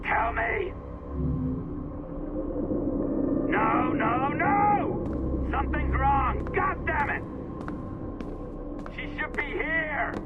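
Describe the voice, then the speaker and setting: A man's voice: extremely high pitch, lively intonation, drawn-out words, long pauses, exaggerated voice. A man playing a scheming, volatile tech insider in a video game. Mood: frantic, enraged. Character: scheming, unhinged male tech insider, smug then frantic. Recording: muffled sound under loud music.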